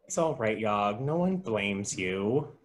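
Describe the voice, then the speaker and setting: A man playing an excitable, kindly and easily exasperated guy in a comedy table read, expressive in his delivery. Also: fairly low pitch, slow pace. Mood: reassuring. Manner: expressive, animated.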